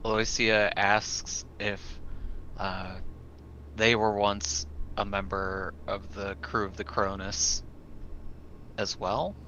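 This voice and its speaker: A man with a bright, tense voice speaks slowly and hesitantly as a tabletop game player describing his character's moves. The sound is somewhat lo-fi, with some faint noise. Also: lowish voice, flat delivery.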